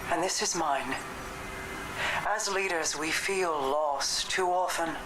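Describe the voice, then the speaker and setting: A woman voicing a calm, commanding queen in a video game cutscene, speaking in a measured, formal way. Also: deep voice. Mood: regal. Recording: echoey game audio with noise.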